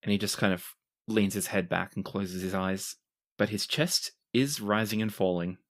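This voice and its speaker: Game master for a tabletop roleplaying session, low-pitched voice, narrating the scene. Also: normal speed.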